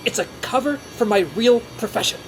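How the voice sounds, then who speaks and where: An actress playing a fussy, exasperated secret agent in a comedic audio drama, indignant and emphatic. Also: female voice, on the high side, brisk, a little hiss or hum, natural voice, poor audio. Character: fussy, exasperated timid sibling claiming to be spy.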